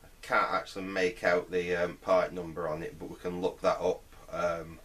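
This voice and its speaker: Deep-voiced middle-aged man. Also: little pitch movement, normal speed, fairly bright tone. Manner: hesitant, thinking aloud while working. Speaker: vintage radio restorer, presenter. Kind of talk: casual hands-on repair commentary. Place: indoor workbench.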